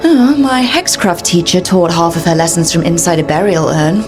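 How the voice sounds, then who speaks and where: A woman's voice: fairly low pitch, moderate pace, lightly acted. A woman voicing a wry, friendly young adventurer in a fantasy video game, in a composed conversational manner. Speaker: player character in a fantasy game. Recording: music behind the voice.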